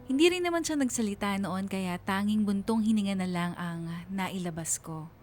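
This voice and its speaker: Woman playing young Filipino narrator, mid-pitched, wistful, reflective, radio drama storytelling, faint music. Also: lively intonation, normal speed, lightly acted. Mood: regretful.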